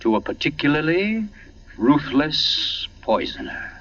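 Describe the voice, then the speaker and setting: An actor playing a suave, sinister and murderous literary gentleman, pompous and deliberate, in a 1940s radio drama. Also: fairly high pitch, big pitch swings, exaggerated voice. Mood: sardonic relish. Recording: noisy muffled 1940s radio, distant.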